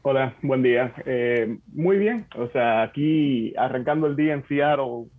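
A man from the Republic, joining a podcast remotely as a guest and chatting in a relaxed way, often falling back on the same filler phrase. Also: mid-range voice, a little slow. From Dominican Republic. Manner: relaxed, often fills gaps with "o sea". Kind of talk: casual interview chat. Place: at home in another city, joining remotely. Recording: tinny phone-line sound.

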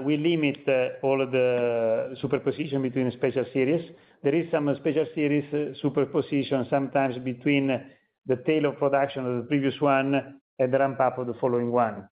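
A man speaking haltingly as a company executive, answering questions on an earnings call. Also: medium pitch. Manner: halting, searching for words. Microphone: conference call line. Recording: narrow, tinny phone line.

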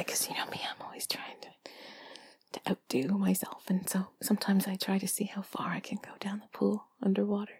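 A woman talking in an intimate, playful ASMR style close to the mic, soft and breathy, often slipping toward a whisper. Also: medium pitch, poor audio. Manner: giggly, playful, chatty. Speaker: audio performer addressing the listener. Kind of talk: intimate ASMR, rambling and affectionate.

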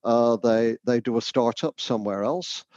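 A man's voice: mid-range voice, moderate pace, darkish tone, no room sound, highs cut off. A man from the north of England with a Northern English accent, answering interview questions thoughtfully with frequent fillers and restarts. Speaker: education researcher.

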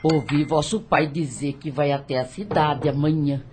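An actress playing a deferential, chatty plantation housemaid in a radio drama, with a folksy manner. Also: female voice, low-pitched, steady tone, normal speed, background noise, natural voice. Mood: gossipy.

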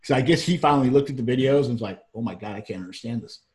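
A man with a faint Southern drawl talks in a loose, rambling way, often restarting his sentences. His voice sits at an ordinary middle pitch, and he chats casually as a co-host. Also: rapid-fire. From Georgia, US. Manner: rambling, animated, frequent restarts. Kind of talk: casual chat.

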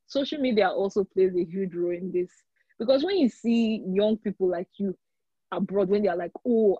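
Podcast guest: young woman, Nigerian accent, husky voice, reassuring and emphatic, on dry, slightly dull audio. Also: mid-range voice, warm tone, dry recording. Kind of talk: conversational advice in an interview.

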